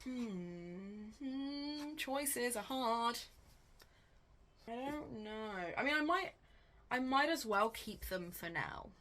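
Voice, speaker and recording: A woman book vlogger chatting casually and thinking aloud, with a wry, animated, self-questioning way of talking. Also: fairly high pitch, slow pace, a little hiss or hum.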